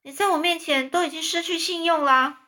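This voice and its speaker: A woman's voice: very high voice, steady tone, fairly quick, pushed and bright, voice pushed up. A woman reading a storybook voices an exasperated aunt who scolds her nephew indignantly. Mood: scolding.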